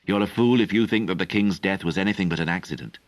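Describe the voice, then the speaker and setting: A man reading an audiobook, voicing a proud, guarded noblewoman who speaks in a measured, indifferent way. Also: sits low, no room sound, natural voice. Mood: cool, guarded. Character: proud noblewoman, a prince's mother, cool and guarded.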